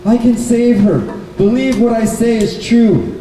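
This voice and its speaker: A man's voice: extremely high pitch, measured pace, warm tone, faint music behind, pitched above the performer's own voice. A man voicing an earnest, pleading Brahmin prisoner in a live puppet show. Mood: urgent pleading. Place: indoor bookstore event, over a microphone. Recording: very echoey noisy hall, distant microphone.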